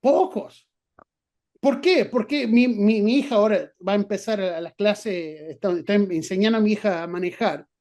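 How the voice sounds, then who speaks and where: A man's voice: high-pitched, moderate pace, a little mellow. A middle-aged man with an Argentine Spanish accent, a guest professor answering interview questions, thinking aloud with many restarts and fillers. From not from Argentina.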